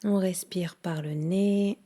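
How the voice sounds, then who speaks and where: Very slow, hushed woman guiding meditation. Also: lowish voice, steady tone. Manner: soothing, hushed, with long pauses. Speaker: yoga nidra guide. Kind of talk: guided relaxation meditation.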